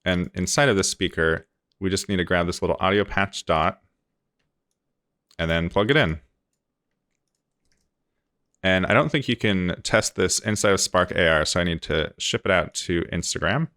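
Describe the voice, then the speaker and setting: A man presenting a casual software tutorial in an informal, easygoing way, explaining things step by step. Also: deep voice, normal speed, long pauses, no echo, clean studio sound.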